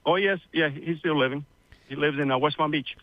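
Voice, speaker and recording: A man's voice: on the high side, brisk, dry recording, lo-fi sound. A man in his sixties, born in Cuba, telling his family story as a radio guest in a rambling, chatty way, with many fillers. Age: 60s. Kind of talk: casual interview storytelling.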